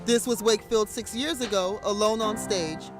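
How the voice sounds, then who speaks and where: A man's voice: high-pitched, normal speed, music in the background. A man reading scripted news narration as a reporter.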